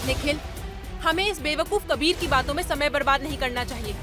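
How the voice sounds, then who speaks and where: A woman's voice: high voice, fairly quick, music in the background, natural voice. A woman playing an impatient, disdainful police colleague in an audio drama.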